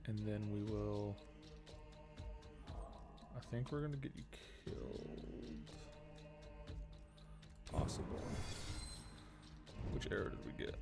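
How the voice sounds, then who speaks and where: Low, gravelly man streaming a game. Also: slow, pauses often. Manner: thinking aloud, muttering to himself. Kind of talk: casual live gameplay commentary. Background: game music.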